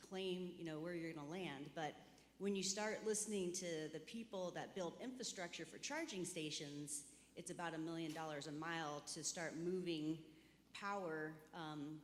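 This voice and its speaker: A woman moderating a conference panel, talking informally in an echoey hall. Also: low-pitched. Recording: very echoey hall, faint background noise.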